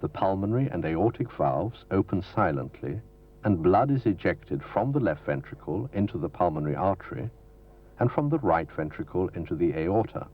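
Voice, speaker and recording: A man with a very low voice narrates an instructional medical film in a formal, didactic way. His measured delivery moves through a lively range of pitch. The sound is dull and lo-fi, like a 1970s film soundtrack copied from videotape. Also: slight background noise. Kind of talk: scripted educational narration.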